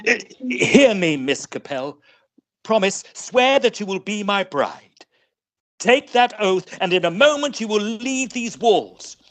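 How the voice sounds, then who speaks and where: An actor playing a scheming, villainous suitor in old-time radio melodrama, with florid, formal and insistent pleading. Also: male voice, sits high, animated, slow pace. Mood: coldly persuasive.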